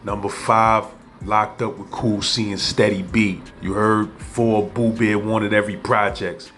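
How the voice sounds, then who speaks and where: A man with a low, flat voice rattling off shout-outs in a laid-back tone. Faint music runs underneath. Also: measured pace. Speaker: channel host doing shout-outs.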